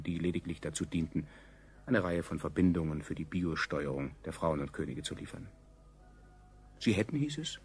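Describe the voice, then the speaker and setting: An actor playing a grave chronicler, narrating a radio play in a measured, faintly ironic way. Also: male voice, low-pitched, breathy edge, exaggerated voice. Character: grave male chronicler, a survivor recalling the state.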